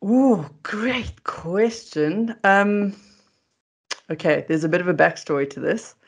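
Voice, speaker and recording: A woman's voice: medium pitch, slow pace, slightly rough. A middle-aged South African woman speaks as a guest on a remote video call. Her manner is chatty and self-deprecating, in casual conversational storytelling. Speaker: interview guest, food coach.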